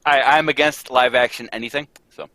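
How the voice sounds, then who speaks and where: A man's voice: mid-range voice, fairly even intonation, a little fast, pushed and bright, a little hiss or hum. A man who reads in an old radio script stream, chatting casually with playful, self-mocking quips. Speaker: cast member of a radio script reading. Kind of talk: casual chat.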